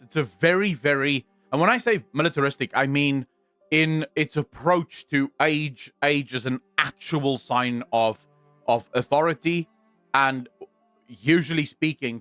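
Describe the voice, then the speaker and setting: A young man with a South African accent talks to his stream audience, blunt and deliberate, circling back to restate his points. His voice is dry and close to the mic. Faint music plays underneath. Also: medium pitch, fairly bright tone, no echo. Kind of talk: casual livestream chat, answering viewers.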